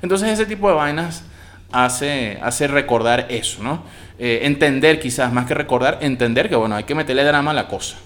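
A man's voice: mid-range voice, moderate pace. A man from Venezuela with a Venezuelan Spanish accent, giving a casual, slangy spoken review of a TV series. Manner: slangy, expressive, swears freely.

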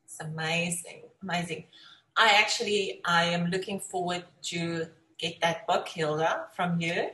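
A woman's voice: on the low side, unhurried, pushed and bright. A woman hosting a conversational interview show, speaking in an effusive, encouraging way. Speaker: talk show host. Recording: echoey room sound.

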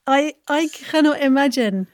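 A woman's voice: sits high, lively intonation, measured pace. A woman hosting a chatty interview podcast in an effusive, giggly manner.

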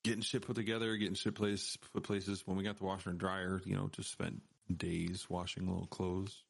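Deep, gravelly laid-back man. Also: little pitch movement, fairly quick, no echo. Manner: laid-back, rambling, swears casually. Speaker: podcast co-host. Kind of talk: casual chat.

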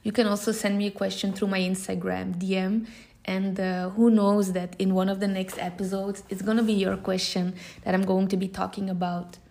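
A woman's voice: medium pitch, flat delivery, normal speed, vocal fry, mellow. A woman in her thirties from Belgium, a native Dutch speaker with a Belgian Dutch accent, giving an informal, unscripted and earnest podcast introduction. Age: 30s. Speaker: podcast host. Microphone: phone recording.

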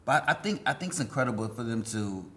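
A man with a medium-pitched voice takes part in a casual movie discussion. His delivery is conversational and halting, with phrases often repeated. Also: lively intonation, bright, forceful tone. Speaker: podcast panelist.

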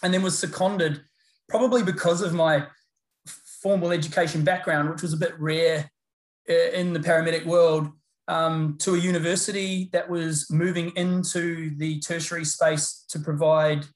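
A middle-aged man with a New Zealand accent, chatting as an interview guest in a relaxed, rambling way full of fillers and restarts. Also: fairly high pitch, moderate pace. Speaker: guest, paramedicine lecturer. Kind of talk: casual interview chat. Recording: roomy echo.

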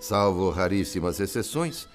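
An actor with a Brazilian accent playing a wise, kindly spirit friend in a radio drama, speaking in a theatrical, didactic way. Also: male voice, low-pitched, animated, unhurried, soft background music. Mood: earnest, instructive. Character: wise, kindly male spirit friend narrating his afterlife story.

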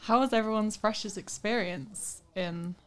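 A woman's voice: mid-range voice, normal speed, clean tone. Rambling young woman from Peterborough. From Peterborough, England. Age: young adult. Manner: rambling, goes off on tangents, laughs often. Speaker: podcast guest, architecture student. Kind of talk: casual chat.

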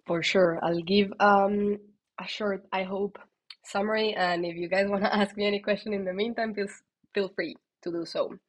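A woman with a strong Colombian Spanish accent, a podcast guest telling her story in a lively, candid way. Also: medium pitch, normal speed. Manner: lively and candid, with frequent fillers and restarts. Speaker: guest, tech executive.

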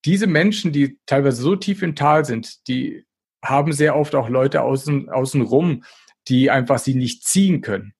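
A man's voice: mid-range voice, moderate pace, creaky, darkish tone, breathy edge. A man talking casually as a podcast guest, in a blunt, colloquial, motivational way. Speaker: podcast guest, diabetes expert. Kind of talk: casual conversational interview talk.

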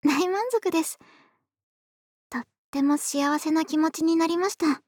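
Very high, tender actress as affectionate neighbor woman. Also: measured pace, dry recording, clean studio sound. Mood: shy, tender, intimate. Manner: soft, gentle, polite, intimate murmuring with breathy whispers. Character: affectionate, polite young adult woman next door, tipsy and doting. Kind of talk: ASMR situation voice drama. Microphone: close dry studio microphone.

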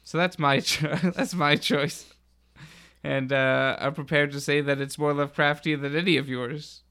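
Smooth, even-toned man chatting. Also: a little high, normal speed. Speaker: podcast panelist. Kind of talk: casual chat, explaining and retelling.

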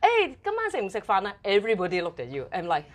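Animated Hong Kong woman, lively storyteller. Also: medium pitch, very fast. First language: Cantonese. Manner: animated, playful storyteller acting out little scenes. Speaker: guest, TV and radio host. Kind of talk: casual interview chat.

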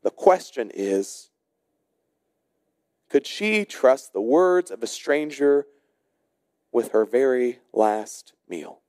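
A man's voice: medium pitch, animated, darkish tone, no room sound, clean studio sound. A man preaching a sermon as a pastor, telling stories and explaining scripture with emphatic, dramatic phrasing. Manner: emphatic storyteller, varies between brisk narration and slow dramatic phrasing. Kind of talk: sermon with storytelling and scripture exposition.

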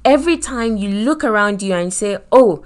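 A woman's voice: medium pitch, expressive, moderate pace. Nigerian woman giving a faith talk. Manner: earnest, preacherly, acts out quoted voices. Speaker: host of a short faith podcast.

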